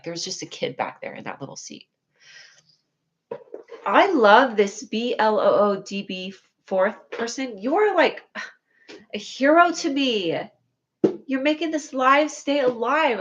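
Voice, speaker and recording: A young woman livestreaming to her viewers in a loose, rambling chat. Her voice sits a little high and has a whiny, needy edge. She talks in a scatterbrained way, puts herself down and breaks into excited squeals now and then. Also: moderate pace, muffled recording.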